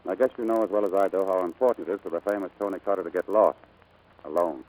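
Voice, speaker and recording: A man acting a brash, lovestruck American navigator in 1940s radio drama style, brisk, cocky and insistent. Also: low voice, darkish tone, rough recording.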